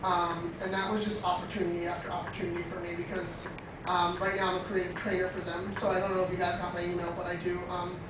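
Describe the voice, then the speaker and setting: A woman in her twenties presents informally to a group in a loose, conversational manner. She is heard from far off in a very reverberant room. The sound is thin and lo-fi, with clear noise around her. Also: lowish voice, flat delivery, fast. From Long Island, United States. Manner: rambling, conversational, uses "like" often. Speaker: student presenter, creative trainer. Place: echoey room, recorded from far off. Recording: muffled lo-fi, echoey room, distant mic, noisy.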